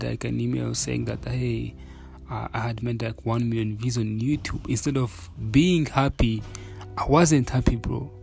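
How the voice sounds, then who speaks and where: A man's voice: mid-range voice, vocal fry. A man from Uganda hosting a solo podcast, talking candidly and reflectively with many fillers and restarts. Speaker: podcast host. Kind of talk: casual solo podcast talk.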